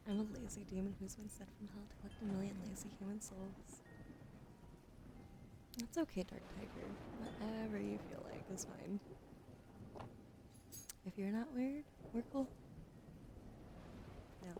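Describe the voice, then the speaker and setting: Young woman, soft ASMR whisper, allergy cough, apologetic, mid pitch, noisy background. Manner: apologetic, thanks followers by name. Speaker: ASMR streamer.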